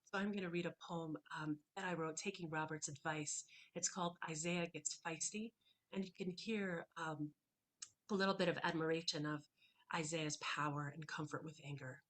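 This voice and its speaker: A woman poet whose first language is Hebrew talks through her work with many pauses and restarts, then reads slowly and deliberately. Her voice sits on the low side, and she speaks into a computer microphone on a video call. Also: fairly even intonation, a bit bright. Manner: thoughtful, hesitant between poems, slow and deliberate when reading. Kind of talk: poetry reading with commentary.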